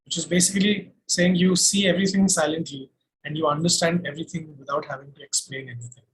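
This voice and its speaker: A man with an Indian accent giving a reflective interview as a guest, explaining things deliberately. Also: a little high, steady tone, fairly bright tone, rough recording. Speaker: guest, singer-songwriter. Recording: faint background noise.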